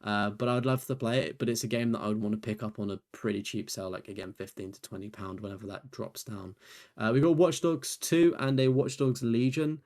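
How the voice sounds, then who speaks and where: A young man comments casually on video games, his voice tired and fading after a long stream. He talks in a loose, rambling way, full of fillers and self-deprecating asides, and his voice sits a little on the low side. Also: fairly even intonation, brisk, a little mellow. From United Kingdom. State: tired, voice nearly gone. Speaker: gaming YouTuber and streamer. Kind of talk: casual commentary reviewing a trophy list.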